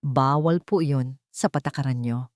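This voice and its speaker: Lady reader as honest young nanny in audiobook drama, polite, pleading, defensive, soft mellow voice, dry. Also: very deep voice, moderate pace, no echo, pitched below the performer's own voice. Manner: pleading, respectful. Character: Lyria, earnest young nanny defending herself respectfully.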